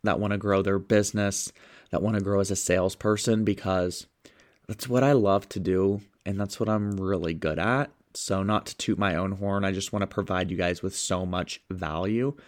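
A young man hosts his own motivational podcast, sounding stuffed up and a bit sniffly from a cold. His voice sits on the low side, and he talks with eager, upbeat energy as he coaches listeners on good habits. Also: fairly even intonation, moderate pace. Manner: upbeat, enthusiastic. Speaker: podcast host and sales coach.

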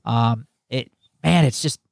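A man's voice: mid-range voice, slow, gravelly, a little mellow, no echo, clean studio sound. A man hosting a podcast, talking casually and rambling with many restarts. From United States. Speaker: podcast host.

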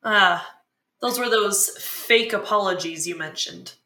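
A woman's voice: mid-range voice, measured pace, sharp tone. An actress playing an eager, curious, sympathetic woman who asks questions and reacts in a scripted fiction podcast. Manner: inquisitive, reactive. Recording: roomy echo.

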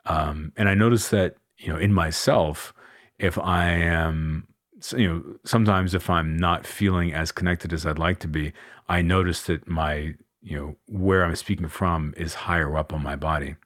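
A man hosting a solo coaching podcast, talking in an unhurried, musing way with a deep chest voice and many fillers. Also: fairly even intonation, a bit bright, dry recording, clean studio sound. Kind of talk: reflective solo podcast talk.

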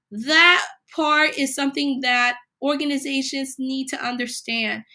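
A woman hosting a solo podcast, a little hoarse from a cold, reading numbered points with emphasis. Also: high-pitched, sharp tone. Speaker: podcast host.

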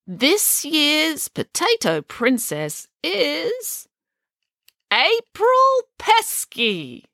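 A woman plays a formal club president in a children's audiobook. She speaks as if from a podium, deliberate and ceremonious, with a raised, lively pitch in a dry recording. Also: no echo, full character voice. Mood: grand announcement. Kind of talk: children's audiobook character acting.

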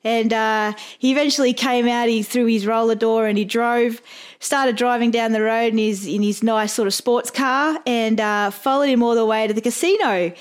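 A woman's voice: a little high, fairly even intonation, moderate pace, clean tone. A woman with an Australian accent, casually telling work anecdotes as a podcast host. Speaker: podcast host, private investigator.

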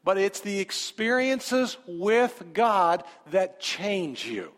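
A man's voice: high-pitched, big pitch swings, slow pace, a bit bright, in a large hall. A man preaching a sermon as pastor, emphatic and repeating his phrases.

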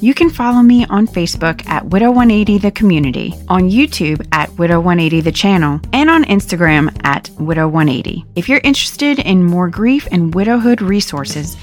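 Woman, podcast host, scripted outro, measured read, faint music behind. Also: fairly low pitch, expressive.

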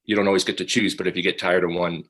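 A man's voice: sits low, little pitch movement, very fast, fairly bright tone. A man hosting a casual chat, asking hesitant questions full of fillers. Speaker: podcast host.

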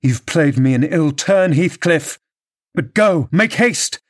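A man's voice: on the high side, animated, takes it slowly, voice pushed up. A man voicing an impassioned, scornful young married woman with an imperious, theatrical manner in an audiobook novel. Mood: urgent, angry. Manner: theatrical, emphatic, exclamatory.

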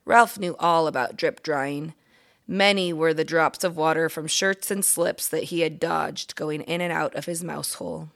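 Woman reading a children's story, low creaky voice. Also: normal speed. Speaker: guest volunteer story reader. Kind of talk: reading a children's book aloud.

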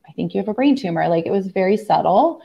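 A young adult woman, hoarse from laryngitis, earnestly recounting a personal family story in a conversation, with many fillers and restarts. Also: mid-range voice, animated, fast talker, dark tone, dull audio. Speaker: guest.

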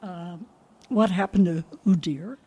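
A woman's voice: on the low side, a little slow, warm tone, breathy edge. A woman playing a sweet, absent-minded older landlady who rambles forgetfully in a radio comedy. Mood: scatterbrained.

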